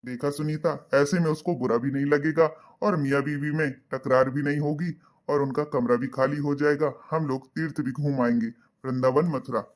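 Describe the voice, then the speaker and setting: A man doing a gentle pious old father. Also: a little high, fairly even intonation, normal speed, lightly acted. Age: older. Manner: soft-spoken, reasoning, philosophical. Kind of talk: cartoon voice acting.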